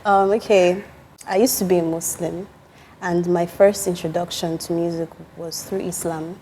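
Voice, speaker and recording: Woman singer chatting, warm lowish voice, opinionated. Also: steady tone, normal speed, slight background noise. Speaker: guest singer. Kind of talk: casual panel chat.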